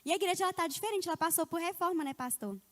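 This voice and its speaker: A woman's voice: very high voice, racing, a bit bright. A woman from Ipatinga, a missionary giving a conversational church teaching talk, often ending phrases with a tag question. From Ipatinga, Minas Gerais, Brazil. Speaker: missionary speaker.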